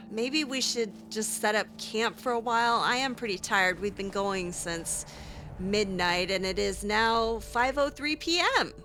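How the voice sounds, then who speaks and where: A woman's voice: mid-range voice, unhurried, noisy background. A woman doing in-character improvised narration of a video game, musing aloud to herself as she goes. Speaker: let's play host roleplaying her character.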